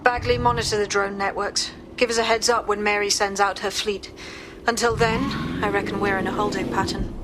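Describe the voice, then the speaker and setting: An actress playing a firm, practical hacker operative in a video game, decisive and businesslike. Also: female voice, medium pitch, level tone, brisk, noisy background, close to an everyday voice. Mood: commanding. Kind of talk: cinematic game voice acting.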